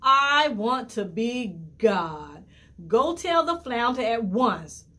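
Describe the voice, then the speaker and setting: Woman reading as a pushy greedy storybook wife, loud, lively and bossy. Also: fairly high pitch, slow, voice pushed up, heavily acted. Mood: demanding. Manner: bossy, demanding. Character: greedy, domineering fisherman's wife.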